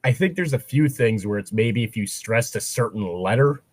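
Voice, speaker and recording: A man's voice: medium pitch, lively intonation, moderate pace, dry recording, clean studio sound. A man chatting casually between bits of reading fan fiction aloud, rambling and breaking off to comment or swear.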